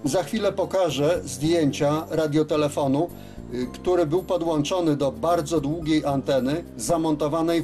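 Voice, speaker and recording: A man gives an unscripted workshop demonstration as a repair technician, explaining step by step with hesitant pauses. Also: on the high side, warm tone. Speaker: radio repair technician presenting his work. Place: radio service workshop, indoors at a bench.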